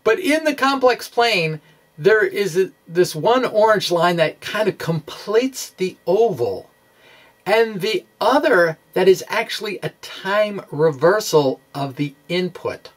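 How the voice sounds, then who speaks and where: Guy doing a casual science video blog, takes his time, kinda halting, corrects himself a lot. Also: sits high, expressive. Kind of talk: informal physics explainer narrating animations.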